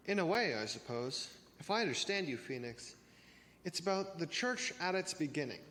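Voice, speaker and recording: Casting: trivia-loving male youth, played by a man, measured know-it-all, slightly high voice, church skit with faint hall noise. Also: animated, a bit bright. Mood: bookish, measured. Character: bookish youth, a self-declared trivia champion. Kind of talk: youth church reader's theater. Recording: noisy reverberant hall.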